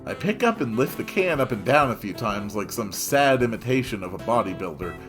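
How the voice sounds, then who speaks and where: A man reading a story aloud in an expressive narrating style. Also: medium pitch, fairly quick, music in the background.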